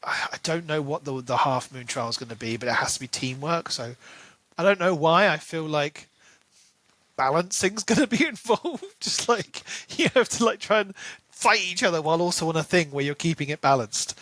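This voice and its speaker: Male podcast co-host with a bright, fairly high voice, eager casual book talk, recorded close and dry. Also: animated, normal speed, no room sound.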